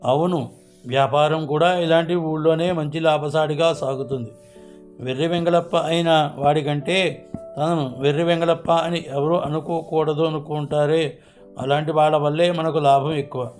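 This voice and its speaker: A man's voice: on the high side, fairly even intonation, normal speed, a little mellow, soft background music, close to an everyday voice. A man playing village folk in the scripted dialogue of a storybook moral tale. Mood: shrewd. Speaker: voice of the story's characters.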